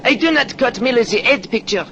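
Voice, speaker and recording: An actor playing a vain, florid little artist with a French accent in an old radio drama. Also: male voice, very high-pitched, expressive, fast, sharp tone, lo-fi sound, exaggerated voice. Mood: boastful. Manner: florid, formal, self-important. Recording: noisy vintage radio recording.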